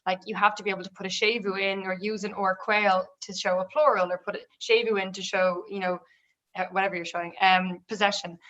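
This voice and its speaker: A woman's voice: mid-range voice, little pitch movement, brisk, a bit bright. Young woman, chatty, a bit self-deprecating, kinda muffled sound. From Dublin. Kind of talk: casual panel discussion. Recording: dull lo-fi audio.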